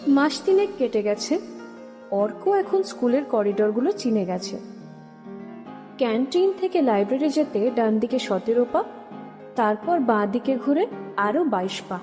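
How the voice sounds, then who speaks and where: A woman's voice: on the high side, big pitch swings, unhurried, long pauses, mellow. A woman narrator reading a scripted story for an audio drama. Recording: long reverb under music. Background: music.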